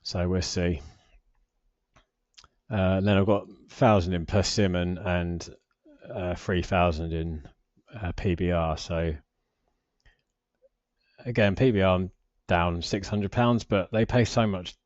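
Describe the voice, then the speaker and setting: Low-voiced British man, rambling casually. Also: steady tone, takes it slowly, a little mellow, no room sound, highs cut off. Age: forties. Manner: rambling, hesitant, self-deprecating. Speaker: personal finance vlogger. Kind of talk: casual unscripted screen-share commentary.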